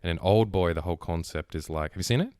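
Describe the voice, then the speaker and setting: Deep-voiced man, casual podcast chat. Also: fast talker, a little mellow, clean tone, no echo, clean studio sound. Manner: rambling, full of "like" and restarts. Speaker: podcast host.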